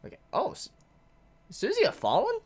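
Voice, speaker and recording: A woman giving a chatty, excitable live manga reaction while congested from a head cold. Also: high voice, moderate pace. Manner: excitable, chatty, exclaims often.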